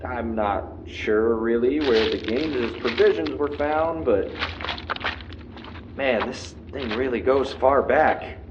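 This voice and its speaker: An actor playing a podcaster lost in the wilderness in an audio drama, narrating haltingly to his recorder as he grows frightened and paranoid. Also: mid-range voice, dull audio, noisy background, lightly acted. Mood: uneasy curiosity. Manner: halting self-narration, swearing. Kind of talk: found-tape audio drama monologue.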